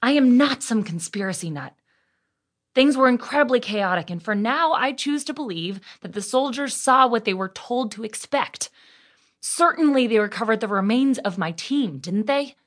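A woman's voice: fairly high pitch, expressive, moderate pace. An actress playing a defiant, determined survivor reading out her emails in a firm, blunt way for an audio drama. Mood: insistent, frustrated.

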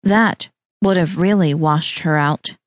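A woman's voice: lowish voice, slow pace, no echo, pitched below the performer's own voice. Woman playing a blunt, snappish young bride. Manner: curt, snappish.

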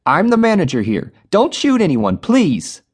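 Male reader doing a scared, pleading manager; high, jumpy pitch. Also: very animated, moderate pace, a little mellow, slightly rough, full character voice. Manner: pleading, nervous, polite. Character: pudgy middle-aged male bank manager, frightened.

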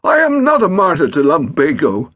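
A man voicing a fussy, irritable elderly gentleman who grows flustered. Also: a little high, huge pitch swings, moderate pace, voice pushed up, exaggerated voice. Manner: peevish, flustered.

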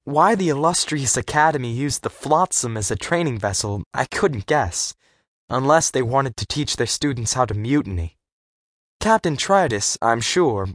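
A man narrating a first-person adventure story for young readers, expressive and clearly articulated, as a scripted audiobook. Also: medium pitch, no echo, clean studio sound.

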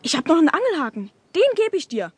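A woman's voice: sits high, big pitch swings, fast, no room sound. A woman playing a brash, eager young boy in a German children's audio drama. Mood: pleading.

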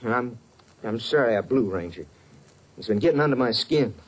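An actor playing a defensive, touchy small-town constable, plain-spoken and rural, in an old radio western. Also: male voice, mid-range voice, expressive, fairly quick, husky. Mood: uneasy. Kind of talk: 1950s radio western acting. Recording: noisy old radio recording.

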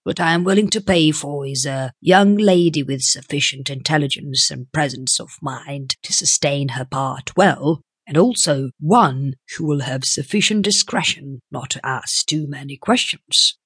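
A woman voicing a sleek, shifty businessman in an audiobook, with a measured, formal and coaxing way of talking. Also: low voice, fairly bright tone, breathy edge, dry recording, studio quality, full character voice. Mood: measured, businesslike. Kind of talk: audiobook character voice.

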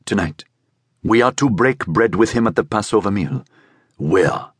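A male narrator plays a conflicted younger man in an audiobook drama, easily swayed by stronger wills. His delivery is emotional and wavering, with a highly varied pitch and a dry, close sound. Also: lively intonation, normal speed, breathy edge, dry recording. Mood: hushed, submissive.

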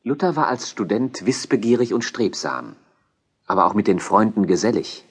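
A man's voice: fairly low pitch, animated, normal speed, slightly rough. A man narrating a scripted historical documentary as a storyteller.

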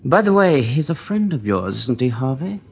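A British police detective in a 1940s radio play. His mid-pitched voice has a husky grain. He is polite and eager, respectful toward his superiors. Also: very animated, moderate pace, muffled recording, exaggerated voice. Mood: thoughtful. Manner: polite, eager, well-spoken.

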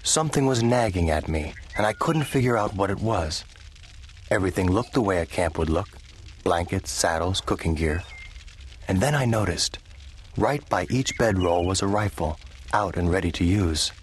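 A man's voice: low-pitched, expressive, normal speed, slight background noise. An actor playing an easygoing young cowboy drifter, telling his story in a reflective, folksy way for a western audio drama. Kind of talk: first-person western audio drama narration.

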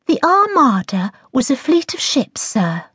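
A woman's voice: high-pitched, sing-song, unhurried, a bit bright, slightly rough, no room sound, studio quality, voice pushed up, exaggerated voice. A woman voicing a smug, bookish schoolgirl who recites facts precisely in a storybook.

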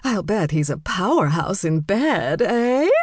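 A woman's voice: medium pitch, sing-song, unhurried, husky, no echo. A gossipy, middle-aged society woman who has had too much wine, as played by a female audiobook narrator. She drags out her slurred words in an effusive, nosy way, in a close, dry recording. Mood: giggly, suggestive. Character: tipsy, gossipy, giggling middle-aged Canadian socialite woman.

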